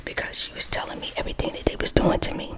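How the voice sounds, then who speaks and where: A woman whispering a gossipy storytime while she does her makeup, close to the mic. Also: quick pace. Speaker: vlogger.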